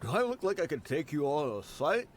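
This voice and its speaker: A man's voice: a little high, very animated, quick pace, a little hiss or hum. An actor playing a defeated male desert mercenary in a video game, wry and resigned, talking expressively. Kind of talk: scripted game dialogue.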